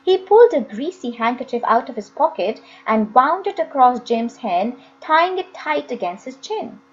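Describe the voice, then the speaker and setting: A woman's voice: high-pitched, big pitch swings, moderate pace, lo-fi sound. A woman narrating a children's novel expressively, as an audiobook reader.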